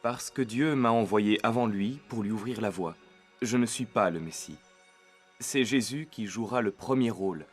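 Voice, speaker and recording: A resolute desert prophet, acted by a man, declares his words earnestly in a lowish voice. It is animated Bible drama, with soft music behind. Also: moderate pace, close to an everyday voice. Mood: fervent, resolute.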